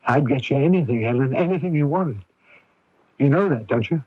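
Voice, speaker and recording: A man playing a middle-aged, devoted, anxious father in a radio drama, speaking in a halting, earnest, plain-spoken way. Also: medium pitch, animated, slightly rough, no room sound. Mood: tender, coaxing. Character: devoted, anxious, simple-minded father of ailing daughter. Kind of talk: 1980s radio drama acting with first-person narration. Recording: dull lo-fi AM radio recording.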